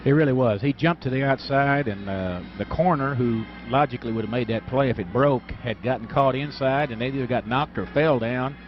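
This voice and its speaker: A man's voice: medium pitch, brisk, hardly any pauses, soft background music. A man giving conversational football analysis as a radio color commentator. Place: stadium broadcast booth. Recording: dull radio broadcast sound.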